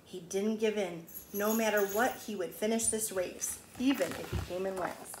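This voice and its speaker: A woman's voice: medium pitch, normal speed. Woman reading to children, echoey room. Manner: emphatic, with explanatory asides to young listeners. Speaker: children's teacher reading a picture book aloud. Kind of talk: expressive read-aloud storytelling for young children. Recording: echoey room with faint background noise.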